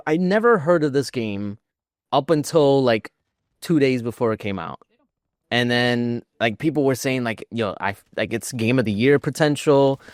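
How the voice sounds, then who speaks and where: A man's voice: mid-range voice, no echo, studio quality. A man co-hosting a podcast, chatting informally about video games in a self-deprecating way. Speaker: podcast co-host.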